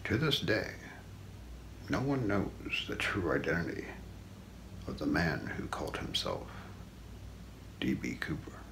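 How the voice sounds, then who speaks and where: A middle-aged man narrates true crime for ASMR in a very low voice full of heavy creak. He speaks slowly and deliberately, hushed and often close to a whisper, recorded close up in a small room. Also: big pitch swings, low-quality recording. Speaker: ASMR role-play narrator. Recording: faint background noise.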